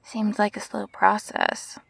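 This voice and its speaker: A woman plays an uneasy young woman in a science fiction audio drama. She speaks slowly and informally, with a husky, creaky voice and a hesitant, sceptical manner. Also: medium pitch, fairly even intonation, no room sound, heavily acted.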